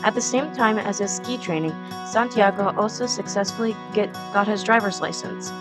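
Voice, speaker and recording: Narrator for a student radio play: woman, mid-pitched, scripted read over prominent music. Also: normal speed. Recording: music playing clearly behind the voice.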